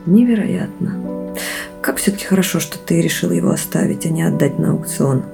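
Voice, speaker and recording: An actress playing a caring, soft-hearted woman in an audio drama, conversational and emotional. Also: fairly low pitch, moderate pace, gravelly, over music. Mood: awed, moved. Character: caring, tender female companion of the heir.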